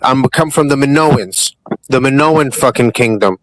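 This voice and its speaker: A man's voice: mid-range voice, normal speed, dry recording, low-quality recording. A man in a casual group chat, boasting and ranting with heavy profanity.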